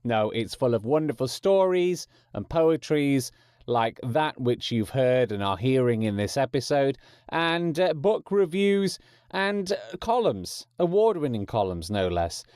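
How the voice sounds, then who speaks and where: A man's voice: mid-range voice, very animated, unhurried, a little mellow, smooth, dry recording, clean studio sound. A man hosting a podcast, reading informal promotional announcements with wry, jokey asides. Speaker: podcast host.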